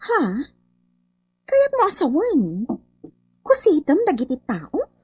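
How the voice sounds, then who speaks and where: Haughty contractor's wife, radio comedy; high voice, animated swings, close dry sound. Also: female voice, slow, no room sound, full character voice. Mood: scolding. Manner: haughty, bragging. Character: boastful, showy wife of a building contractor. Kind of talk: comedy radio drama acting.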